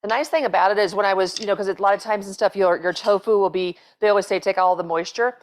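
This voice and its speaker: A middle-aged woman runs a home cooking demonstration, chatting casually as she works. She rambles and restarts, filling gaps with "so" and "um". The voice sits at a middle pitch, recorded on a lapel mic in a home kitchen. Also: quick pace. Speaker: cooking show host, chef.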